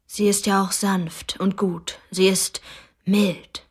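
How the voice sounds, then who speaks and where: A woman's voice: mid-range voice, level tone, takes it slowly, husky. A woman playing a pious, dreamy little girl in a German radio play, reciting in a reverent, sometimes whispering way. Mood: hushed, reverent.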